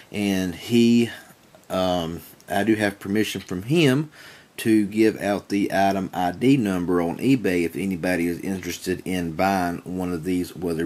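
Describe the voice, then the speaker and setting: Man, deep-pitched voice, casual demo, plain-spoken, down-home, circles back over points. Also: darkish tone. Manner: plain-spoken, folksy, rambling and repetitive. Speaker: reviewer demonstrating a small weather radio. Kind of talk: casual product demonstration.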